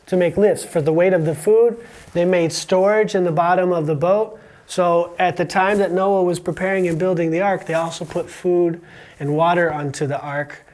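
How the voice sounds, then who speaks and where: A man's voice: fairly high pitch, a little mellow. A man with an American accent hosts a school podcast, asking quiz questions in an upbeat, encouraging way. He slips in small jokes as he goes. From Romania. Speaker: podcast host. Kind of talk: casual interview with a student.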